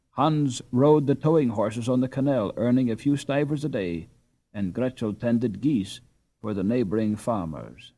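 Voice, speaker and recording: A man narrates a classic children's storybook. His voice sits at a middle pitch for a male reader. The delivery is scripted audiobook storytelling. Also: mellow.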